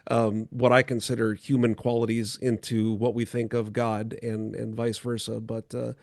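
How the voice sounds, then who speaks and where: A man chats casually as a show co-host, with playful banter and an easygoing joking manner. His voice sits at an average pitch, and the sound is close and dry, with hardly any room on it. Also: flat delivery, normal speed, creaky, darkish tone, dry recording. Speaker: podcast co-host. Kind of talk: casual chat.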